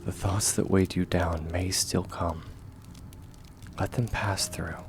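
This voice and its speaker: A man voicing a kind, steadfast young warrior in a comfort ASMR roleplay, speaking gently and reassuringly in a measured, often hushed way. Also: sits low, steady tone, faint music behind. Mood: soothing, earnest.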